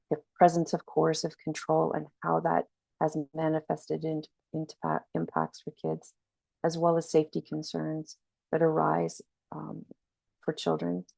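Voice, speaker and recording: A woman's voice: sits low, gravelly, a little mellow, dry recording, poor audio. A woman with a slight cold, giving a webinar training presentation in an earnest, explanatory way. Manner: earnest, explanatory, frequent restarts. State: has a cold, slightly scratchy.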